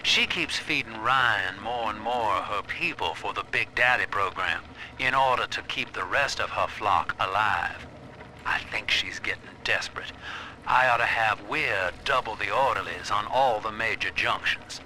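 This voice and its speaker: Scheming male game businessman, very forceful bright tone, lowish, Southern drawl, folksy, slightly noisy recording. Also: unhurried. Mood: wry, scheming.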